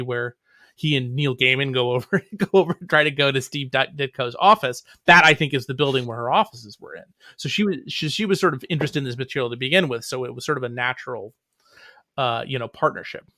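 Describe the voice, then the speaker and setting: A man with a bright, forceful voice in a dry, close recording. He speaks as a writer in an interview, explaining things casually through digressive anecdotes. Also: mid-range voice, fairly quick, no echo.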